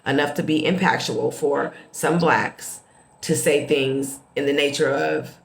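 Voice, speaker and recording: A middle-aged American woman from the Midwest telling personal stories casually, with expressive emphasis and repeated phrases. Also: low voice, a little slow, gravelly. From Midwestern United States. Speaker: host. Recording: roomy, echoing sound.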